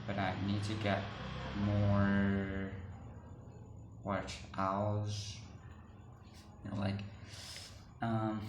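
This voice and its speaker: Hesitant man, low and flat. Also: far from the mic, background noise. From Laos. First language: Hmong. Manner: hesitant, many fillers and restarts. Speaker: YouTuber. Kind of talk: casual vlog talk to viewers. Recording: echoey room, voice off-mic, faint ambience.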